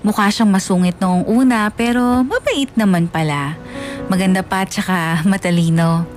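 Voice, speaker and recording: A woman narrates a scripted radio drama in the first person with a Filipino accent. Her voice sits at a middle pitch, with faint music underneath. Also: moderate pace.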